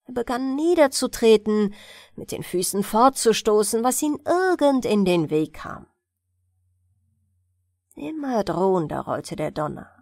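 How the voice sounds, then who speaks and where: A woman narrating a fairy tale as a bedtime audiobook, in an expressive, measured storytelling manner. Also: medium pitch, dry recording, clean studio sound.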